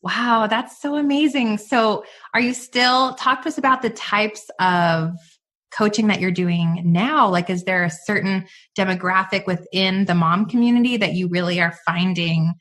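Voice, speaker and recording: Bright-voiced, gushing woman podcast host. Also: medium pitch, moderate pace. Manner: gushing, excitable. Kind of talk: upbeat casual podcast interview.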